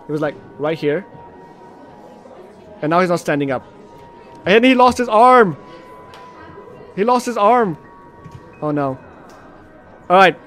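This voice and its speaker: A man doing let's play commentary, reading game text aloud in an animated, slightly stumbling way. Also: sits high, takes it slowly, pauses often. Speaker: video game streamer. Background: game music.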